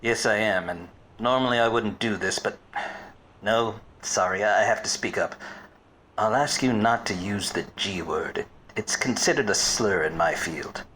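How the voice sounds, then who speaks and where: A man playing a wisecracking, obtuse private detective in a deadpan 1940s radio noir parody. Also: fairly low pitch, unhurried, slight background noise. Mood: cocky, touchy. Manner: deadpan, hardboiled.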